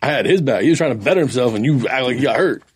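A man on a casual podcast, chiming in with quick, needling remarks. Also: medium pitch, animated, breathy edge. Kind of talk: casual chat.

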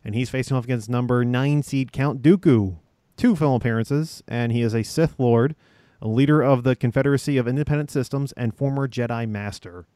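A man's voice: mid-range voice, fairly quick, warm tone, no echo, studio quality. A man co-hosting a casual podcast, explaining rules with many fillers. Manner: rambling, lots of uh fillers. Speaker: podcast co-host.

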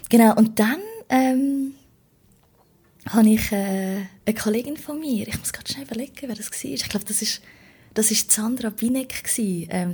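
A woman with a Swiss German accent speaks as a podcast guest. Her voice is mid-pitched, husky and full of fry. She gives a casual personal account, reflecting and searching for words as she goes. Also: warm tone. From Switzerland. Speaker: podcast guest, political candidate.

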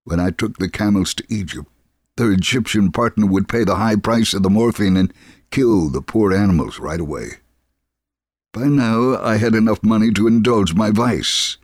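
A man's voice: lowish voice, moderate pace, dry recording, clean studio sound. A man reading a scripted first-person testimony as a story narrator.

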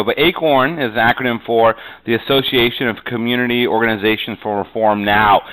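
A man's voice: mid-range voice, a bit bright, low-quality recording. A middle-aged man from New England talking conversationally as an interview guest, rambling and often padding with "you know". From New England, USA. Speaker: podcast guest, real estate agent. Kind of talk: conversational interview, telling his career story.

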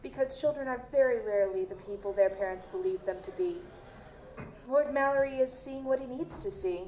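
A woman playing a guarded, formal chief medical examiner in an audio drama, measured and dismissive. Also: sits high, animated, warm tone. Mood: cool, guarded. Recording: roomy, noisy live stage recording.

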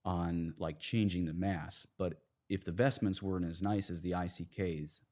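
A man's voice: deep voice, steady tone, normal speed, darkish tone. A man speaking as a guest in a conversational religious discussion, earnest and explanatory. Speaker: priest, podcast guest.

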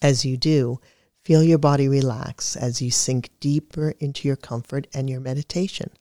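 A guided meditation narrator speaks in a middle register with a measured, soothing delivery. The reading is scripted and calm. The sound is close and dry, with very little room on it. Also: medium pitch, dry recording.

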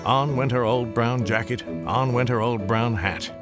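An actor playing a wry, theatrical narrator of a radio drama, telling the story in an ornate, deliberate way. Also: male voice, medium pitch, steady tone, lightly acted. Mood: dramatic. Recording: music clearly behind.

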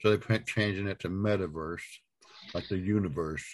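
A man's voice: deep voice, fairly even intonation, normal speed. A man co-hosting in casual chat, adding wry short remarks.

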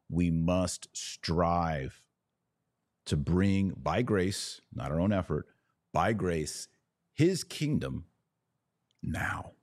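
An American man hosting a podcast, giving religious commentary off the cuff in an emphatic, preachy way with deliberate pauses and repetition. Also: sits low, a little mellow, no echo. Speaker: podcast host.

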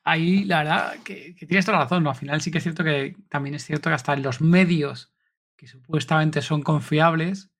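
A man's voice: fairly high pitch, steady tone, brisk, fairly bright tone. A man, co-hosting a podcast in a casual, friendly way and steering the conversation. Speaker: podcast co-host. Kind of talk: casual interview chat.

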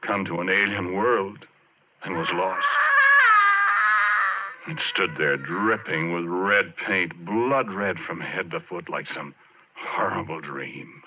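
An American man acting as a haunted, obsessed former oil driller in a 1940s radio horror play, confiding in halting, brooding phrases with dramatic pauses. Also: sits low, husky, heavily acted. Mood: hushed horror. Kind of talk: 1940s radio horror acting, intimate first-person narration. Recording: dull, noisy 1940s radio recording.

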